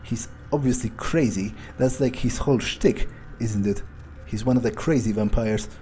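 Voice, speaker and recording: A man's voice: medium pitch, moderate pace, warm tone, faint music behind. A man doing in-character video game commentary, thinking aloud in a hesitant, musing, sardonic way with casual swearing. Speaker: gaming video creator playing in character.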